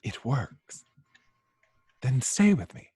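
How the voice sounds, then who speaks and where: A man playing a gentle, troubled android in love, hesitant and earnest, in an amateur film table read. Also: medium pitch, sing-song, takes it slowly, husky, no room sound, exaggerated voice. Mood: tender, hesitant. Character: gentle, lovestruck, troubled male android hero.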